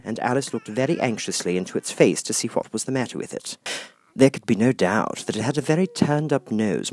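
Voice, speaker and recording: Animated male storybook narrator. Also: medium pitch, breathy edge. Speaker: audiobook narrator. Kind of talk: expressive scripted storybook narration.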